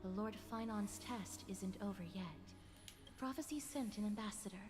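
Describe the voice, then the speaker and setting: An actress playing an earnest, courteous female attendant in a game, speaking formally and in measured phrases. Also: mid-range voice, close to an everyday voice. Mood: earnest, somber. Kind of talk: scripted game voice acting. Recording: long hall reverb, music behind.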